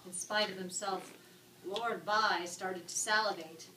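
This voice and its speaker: A woman narrating a written story in an expressive, arch, dramatic style. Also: mid-range voice, measured pace. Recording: echoey room, distant mic, background noise.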